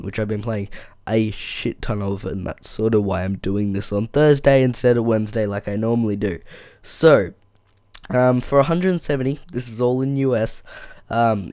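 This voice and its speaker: A man hosting a casual solo tech podcast, his voice croaky from a flu, rambling with many ums and frustrated rants, on a boom-arm microphone in a foam-treated room. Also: darkish tone, no room sound, studio quality. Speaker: podcast host. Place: small room with soundproof foam. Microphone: boom-arm studio microphone.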